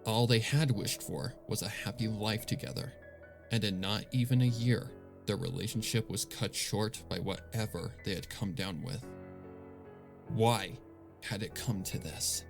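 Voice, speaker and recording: A man narrating a dark story in a somber, dramatic reading style, with music playing underneath. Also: fairly low pitch, a little slow, gravelly. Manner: somber, grave. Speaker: narrator, fan fiction reader.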